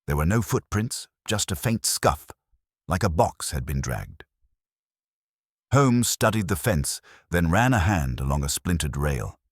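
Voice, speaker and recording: A man reading a scripted detective mystery in a measured, suspenseful storytelling manner. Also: sits low, sing-song, slightly rough, no room sound, clean studio sound. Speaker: audiobook narrator. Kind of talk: scripted dramatic mystery narration.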